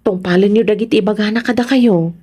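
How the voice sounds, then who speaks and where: A woman with an Ilocano accent playing a devout, caring middle-aged mother in a radio drama, gently admonishing. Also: mid-range voice, quick pace, husky, natural voice. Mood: worried, tender. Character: devout, caring provincial mother, worried for her children.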